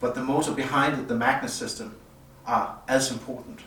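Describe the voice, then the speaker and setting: Male product designer, explanatory and halting delivery, medium pitch, picked up at a distance with faint noise. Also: steady tone, a bit bright. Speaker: loudspeaker designer presenting at a product premiere. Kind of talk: semi-technical product presentation, unscripted. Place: indoor room at an audio show. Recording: roomy, distant mic, some background noise.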